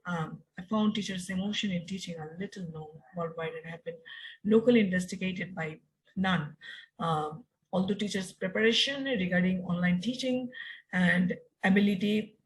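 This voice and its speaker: Lower-voiced Bangladeshi woman presenting research. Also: slight background noise, low-quality recording. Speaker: university lecturer presenting research. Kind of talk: academic conference presentation.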